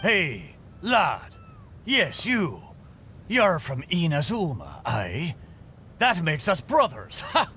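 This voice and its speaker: Swooping, hearty old sea-dog ship. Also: male voice, on the high side, huge pitch swings, slow, sharp tone, slight background noise, full character voice. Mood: rousing, hearty. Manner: hearty nautical dialect, theatrical flourishes. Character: jolly old-salt talking ship, forgetful, boastful, big-hearted. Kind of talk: video game character acting.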